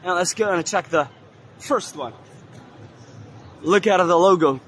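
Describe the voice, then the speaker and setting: A hoarse man with a fairly high voice gives a relaxed, halting tour of a trade fair hall. He talks slowly, filling gaps with "uh" as he points things out. A faint hum of the hall sits behind him. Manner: unhurried, halting, many fillers. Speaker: vlogger touring a trade fair. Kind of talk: casual walking-tour commentary. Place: indoor exhibition hall.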